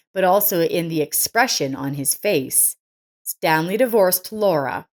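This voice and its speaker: A woman reading a scripted life story as its narrator. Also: lowish voice.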